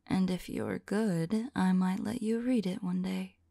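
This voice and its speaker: A woman playing a tender, devoted vampire lover in an intimate ASMR roleplay, speaking softly and affectionately, often in a whisper. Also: medium pitch, no room sound, studio quality. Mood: fond, teasing. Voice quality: soft, breathy, often whispering. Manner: slow, wistful, affectionate.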